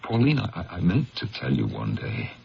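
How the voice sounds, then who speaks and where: A burdened, ashamed lawyer in an old radio drama speaks in a very deep voice that swings expressively. He hesitates and gropes for words as he confesses, creaky at the edges, over a muffled, faintly hissy broadcast. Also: wildly swooping pitch, normal speed, full character voice. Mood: reflective, pained. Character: troubled married lawyer, ashamed, burdened by a secret. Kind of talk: 1970s radio drama acting.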